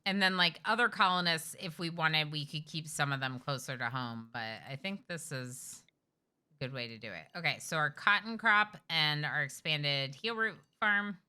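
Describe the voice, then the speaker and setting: A woman streaming a game live, chatty and excitable, talking to her characters as she plays at home. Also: low-pitched, normal speed, smooth. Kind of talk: live gameplay commentary.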